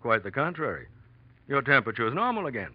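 An actor playing an affable, gently bossy ship's doctor in a 1940s radio drama, chatty and unhurried. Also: male voice, mid-range voice, big pitch swings. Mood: matter-of-fact. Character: affable, talkative male ship's doctor, quietly insistent. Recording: dull old radio recording.

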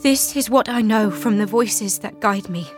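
A woman's voice: mid-range voice, normal speed, natural voice. An actress playing a devout, resolute teenage peasant girl who leads an army, in an earnest audio drama style. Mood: solemn conviction. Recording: dramatic music behind the voice.

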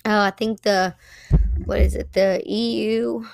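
A hoarse woman hosting a solo commentary podcast, talking conversationally with frequent fillers and pauses. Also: mid-range voice, fairly even intonation, dry recording. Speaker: podcast host.

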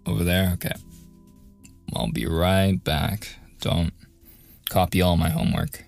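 Man playing young male student bully, lowish pitch, slow pace, sarcastic, defiant, easily flustered, ASMR roleplay, faint music behind. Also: fairly even intonation, creaky. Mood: smug, mocking. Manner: sarcastic, taunting, hesitant stammering under pressure. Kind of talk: boyfriend ASMR audio roleplay, close and intimate acting.

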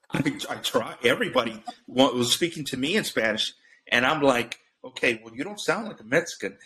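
A man's voice: medium pitch, lively intonation, normal speed, background noise. A middle-aged man, a guest on a talk show, telling stories in a rambling way full of restarts and fillers. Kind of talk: casual storytelling.

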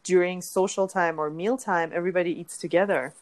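A woman's voice: on the low side, steady tone, brisk. A woman from Iran, a dietitian, talking reflectively about her career and food culture in an interview. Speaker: dietitian guest. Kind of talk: conversational interview.

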